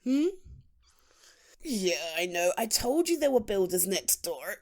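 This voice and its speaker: Giggly British best friend, played by a woman, lively intonation. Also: mid-range voice. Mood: teasing, playful. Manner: halting, giggly, conversational delivery. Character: playful, affectionate female best friend, giggly and teasing. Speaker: ASMR roleplay voice performer. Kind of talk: intimate, naturalistic audio roleplay addressed to the listener.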